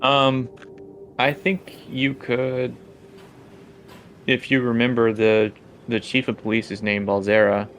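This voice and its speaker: A man's voice: mid-range voice, level tone, takes it slowly, long pauses, a bit bright, a little hiss or hum. A man improvising narration as the game master of a tabletop role-playing game.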